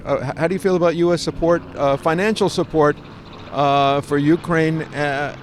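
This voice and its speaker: A man interviewing someone, asking questions haltingly as he searches for his words. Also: fairly high pitch, fairly even intonation, a little hiss or hum.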